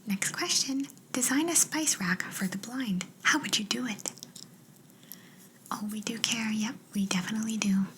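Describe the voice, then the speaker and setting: A woman's voice: fairly high pitch, normal speed, a bit bright, slight background noise. A woman whispering breathily and playfully as a quirky job interviewer in an ASMR roleplay, close to the microphone. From Canada. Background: writing sounds.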